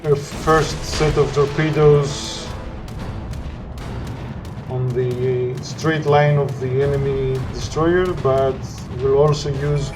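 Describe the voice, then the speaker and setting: A man with a Greek accent, a non-native English speaker, narrating his gameplay in halting sentences full of "uh"s. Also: mid-range voice, fairly even intonation, music in the background. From Greece. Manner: halting, searching for words with frequent "uh". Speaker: gameplay commentator. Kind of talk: gameplay commentary.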